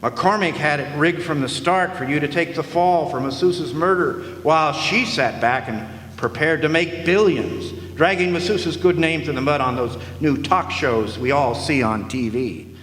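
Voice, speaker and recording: A man's voice: on the high side, moderate pace, fairly bright tone, lightly acted. An actor in a live radio play playing a wisecracking hardboiled male private eye who narrates in a dry, sardonic way. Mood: wry. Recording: echoey hall with faint noise.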